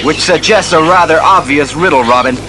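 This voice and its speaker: A man's voice: on the high side, a little fast, noisy background, close to an everyday voice. A man playing a steadfast, commanding masked crime-fighter in a resolute, declamatory superhero audio drama style. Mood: triumphant. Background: dramatic music.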